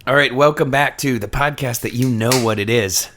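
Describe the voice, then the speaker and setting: Guy hosting a podcast, chatty and informal, middle of the road pitch, swears a bit. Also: brisk, fairly bright tone, faint music behind. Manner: casual, profane, informal. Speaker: podcast host. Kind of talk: casual chat.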